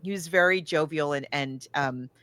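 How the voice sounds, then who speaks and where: Low-voiced enthusiastic woman podcaster. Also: normal speed, fairly bright tone, clean tone, no room sound. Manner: gushing, enthusiastic. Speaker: podcast co-host. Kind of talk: casual film and book discussion.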